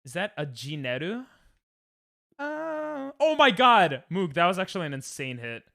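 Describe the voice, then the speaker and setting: A young New York man chats loosely while streaming a game, joking around and getting worked up over the play. His voice sits a bit high and comes through smooth and clear. The recording is close and completely dry. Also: measured pace, dry recording. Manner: joking, excitable, trails off mid-sentence. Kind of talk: casual gaming stream chat.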